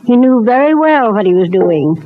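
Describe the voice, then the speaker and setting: An actress playing a stern, commanding, wealthy society matron in an old-time radio soap opera, with an imperious, clipped and exacting manner. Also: female voice, fairly high pitch, big pitch swings, moderate pace. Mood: stern, accusing. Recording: tinny, noisy vintage radio recording.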